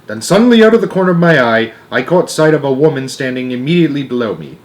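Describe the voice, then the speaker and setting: A man's voice: medium pitch, sing-song, fast talker. Man reading a children's story aloud. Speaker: storybook narrator. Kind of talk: expressive read-aloud narration of a children's novel.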